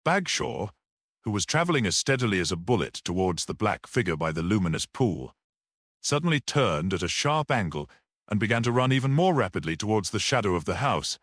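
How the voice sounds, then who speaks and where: A man's voice: fairly low pitch, very animated, a little fast, a bit bright, no echo, studio quality. A man narrating a mystery story as a scripted, dramatic audiobook reading.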